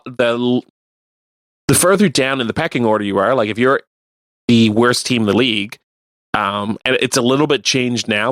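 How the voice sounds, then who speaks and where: A man co-hosting a radio show, chatting casually about sports and explaining things in a halting way. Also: medium pitch, fairly bright tone, no echo. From Canada. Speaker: radio co-host.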